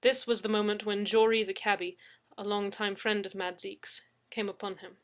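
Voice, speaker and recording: A woman reading a serialized story aloud in a measured way. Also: mid-range voice, little pitch movement. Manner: measured narration. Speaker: reader.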